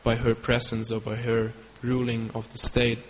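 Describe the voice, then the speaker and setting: A man from Germany with a German accent, a devotee giving a storytelling talk. He speaks haltingly and stops to search for English words. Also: mid-range voice, monotone, soft background music. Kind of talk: storytelling lecture. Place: hall in Belgium. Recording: long hall reverb with faint ambience.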